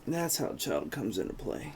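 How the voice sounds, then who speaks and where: A man's voice: mid-range voice, big pitch swings, moderate pace, gravelly, a little hiss or hum. A man giving casual, offhand commentary in short remarks as he plays a video game. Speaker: gaming streamer.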